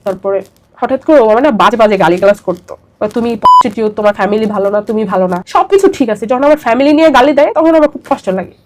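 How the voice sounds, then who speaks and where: A young woman with a Bangladeshi accent shares a personal account in an interview. Her voice sits fairly high and she talks quickly, repeating herself for emphasis as the story pours out. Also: animated, heavy background noise. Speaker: interview guest.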